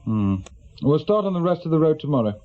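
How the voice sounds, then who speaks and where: An actor playing a brisk, sceptical senior police detective with an English accent, questioning with authority in a British radio drama. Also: male voice, a little high, sing-song, narrow, tinny audio. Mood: businesslike.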